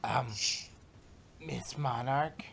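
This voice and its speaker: A man's voice: mid-range voice, fairly even intonation, extremely slow, vocal fry, a little hiss or hum. A man voicing a nervous, deferential male pony assistant, formal and uncertain, in a fan fiction reading. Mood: hesitant, obedient.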